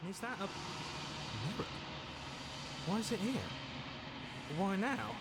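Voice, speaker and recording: An actor voicing an excitable, searching male character in a horror video game. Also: high voice, takes it slowly, very noisy. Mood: bewildered. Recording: loud music over game audio.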